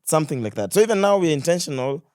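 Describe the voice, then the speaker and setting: A man with a Kenyan accent in a casual podcast conversation, telling things in an animated way with quoted asides and slang. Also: fairly high pitch, racing, clean tone, no room sound, clean studio sound. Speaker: podcast guest, content creator.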